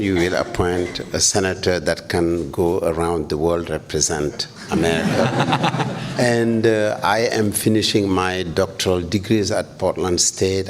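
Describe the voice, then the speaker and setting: Low-voiced Senegalese man addressing officials. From Senegal, West Africa. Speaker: school principal giving testimony. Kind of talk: informal public testimony to officials. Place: public hearing in a university hall. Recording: faint background noise, hall ambience.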